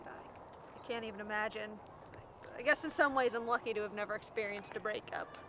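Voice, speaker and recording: A woman's voice: medium pitch, brisk, natural voice. A woman acting as an upbeat, quirky young woman in a naturalistic, conversational comedy, a little hesitant and self-deprecating. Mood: sheepish. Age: young adult. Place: outdoors in a park. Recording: noisy outdoor ambience, traffic hum.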